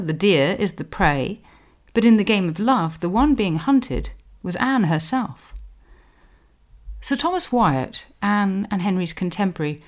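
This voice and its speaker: Woman doing a history podcast, mid pitch, scholarly and measured, reads quotes with emphasis. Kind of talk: scripted explanatory narration with read quotations.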